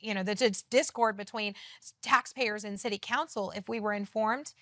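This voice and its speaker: A woman with a loud voice, speaking as a city council candidate in an earnest, emphatic TV interview. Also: medium pitch, fairly even intonation, normal speed, no room sound, studio quality. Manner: emphatic, earnest campaign talk. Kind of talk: TV studio interview.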